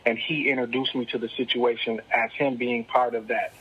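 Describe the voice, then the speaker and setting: A man's voice: medium pitch, flat delivery, normal speed, fairly bright tone, slightly rough. A man calling in to leave a voicemail, casually explaining a situation and asking for advice. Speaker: caller leaving a voicemail. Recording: dull lo-fi voicemail recording.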